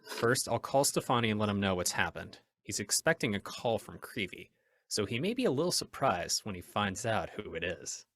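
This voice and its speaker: A man's voice: fairly low pitch, very animated, normal speed, fairly bright tone, a little hiss or hum. A man acting a stern, commanding masked vigilante in an old-time radio drama, speaking in firm, menacing declarations. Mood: guarded, deliberate. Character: masked male crime-fighting vigilante, stern, commanding, resolute.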